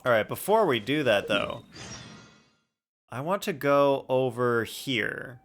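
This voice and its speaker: Animated male streamer with a bright voice. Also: mid-range voice, takes it slowly. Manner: rambling, playful, incredulous questioning. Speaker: game streamer. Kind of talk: casual live gameplay commentary, chatting with viewers. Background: faint game music.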